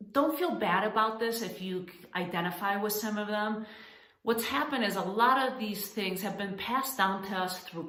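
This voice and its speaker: A woman's voice: mid-range voice, a bit bright. A woman from Spain, founder of a coaching channel, giving earnest, encouraging money advice straight to camera, often restarting her sentences. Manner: earnest, encouraging, addresses women as ladies; halting restarts and fillers. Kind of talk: direct-to-camera advice talk. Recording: echoey room.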